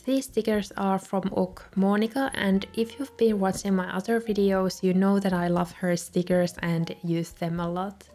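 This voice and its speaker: A young woman, a native Finnish speaker, calmly narrating a voice-over for her video with soft laughs between remarks. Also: medium pitch, moderate pace, few pauses. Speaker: journaling video creator. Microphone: voice-over recorded separately.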